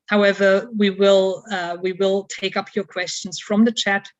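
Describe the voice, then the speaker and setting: A woman moderating an online panel, speaking haltingly with many fillers. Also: medium pitch, level tone, lo-fi sound.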